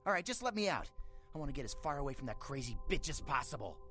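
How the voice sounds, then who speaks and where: A man's voice: mid-range voice, very animated, quick pace, over music. An actor playing an angry, swearing man just freed from captivity, in a video game. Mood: angry, aggrieved. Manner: agitated, swearing, indignant.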